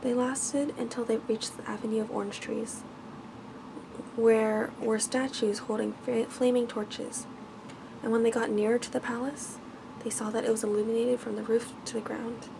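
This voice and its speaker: A woman reads a bedtime story in a soft, hushed ASMR manner. Her voice sits fairly high for a woman, with plenty of creaky fry at the edges. She keeps a gentle, measured delivery over clearly audible background noise. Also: little pitch movement. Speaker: storyteller reading aloud.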